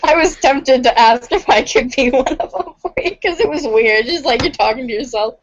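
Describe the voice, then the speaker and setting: A woman's voice: high-pitched, moderate pace, dull audio. A woman, a readers' theater cast member, chatting casually. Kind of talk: casual chat.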